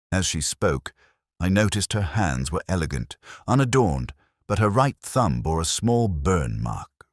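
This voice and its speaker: Really deep male narrator reading a detective story, literary and formal, intonation moves a lot. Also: no echo, studio quality. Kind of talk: scripted first-person detective story narration.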